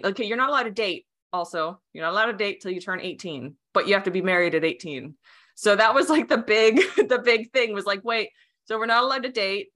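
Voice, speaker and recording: A woman's voice: on the low side, bright, forceful tone. A young adult woman whose first language was Romanian, a podcast guest talking casually, rambling with many fillers, restarts and frequent laughs. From United States. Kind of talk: casual interview chat.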